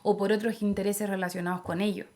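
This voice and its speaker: A woman's voice: mid-range voice, level tone, fast talker, mellow. A young adult woman with a Chilean accent, giving reflective opinions in a conversational interview. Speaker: interview guest, political activist.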